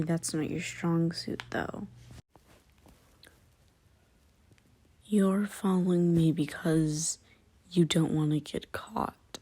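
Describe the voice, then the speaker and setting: A woman acting an irritable, aloof lone female werewolf in an ASMR roleplay, curt and scornful, her soft voice often slipping into whispers. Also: lowish voice. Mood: cold, irritated. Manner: curt, scornful, exasperated sighing.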